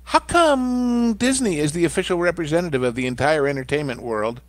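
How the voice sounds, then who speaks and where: A man co-hosting a casual commentary show, animated and jokey, often restarting his words. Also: fairly high pitch, brisk, no room sound. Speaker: podcast co-host.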